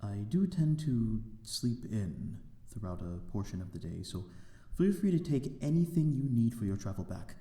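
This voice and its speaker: A man's voice: mid-range voice, very animated, normal speed, few pauses, mellow, roomy sound. A man playing a courteous, lonely vampire lord in an ASMR roleplay, speaking in a genteel, formal and slightly hesitant manner. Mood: tender, grateful. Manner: genteel, formal, hesitant, old-fashioned wording. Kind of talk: ASMR audio roleplay, amateur drama.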